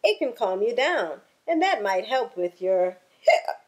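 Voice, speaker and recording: A woman voicing a friendly, hopeful little male rabbit in a storybook, gentle and encouraging. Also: mid-range voice, very animated, measured pace. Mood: gently encouraging.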